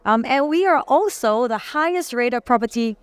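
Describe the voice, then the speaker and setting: Smooth-voiced businesswoman. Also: fairly high pitch, animated, normal speed, no echo. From Singapore. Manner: polished, promotional, uses many fillers. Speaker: company CEO. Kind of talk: business interview.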